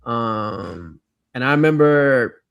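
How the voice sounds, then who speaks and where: A man's voice: medium pitch, animated, slow, gravelly, smooth, no room sound, dull audio. A middle-aged American man from Miami chatting casually and nostalgically in slang as a livestream host. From Miami, United States. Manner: slangy, conversational. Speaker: livestream host reading viewer comments.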